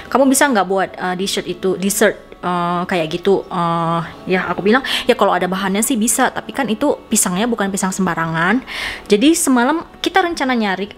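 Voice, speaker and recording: A woman from Indonesia, chatting casually to viewers in an informal, rambling, giggly vlog style. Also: medium pitch. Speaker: vlogger.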